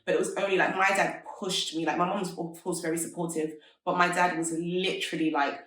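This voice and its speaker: Brief: thirties woman, lowish voice, chatty guest, echoey room, mic a bit distant. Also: level tone, brisk, fairly bright tone. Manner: chatty, many filler "like"s, upbeat. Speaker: interview guest, fashion entrepreneur. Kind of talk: casual conversational interview. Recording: roomy echo, mic at a distance.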